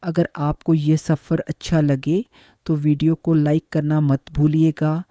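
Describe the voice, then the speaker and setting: A man's voice: a little high, level tone, measured pace, mellow, dry recording. A man reading a scripted, moody horror introduction as narrator.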